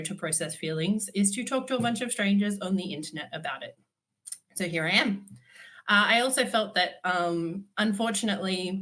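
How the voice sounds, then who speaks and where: A woman's voice: lowish voice, a bit bright. A woman, giving a conference talk while stuffy from a cold, candid with dry humour and frequent ums. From Australia. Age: 30s. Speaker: conference speaker.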